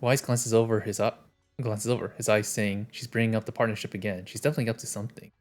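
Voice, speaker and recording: A man's voice: fairly low pitch, level tone, fast, faint music behind. A man reading a video game's story text aloud as he streams. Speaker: game streamer.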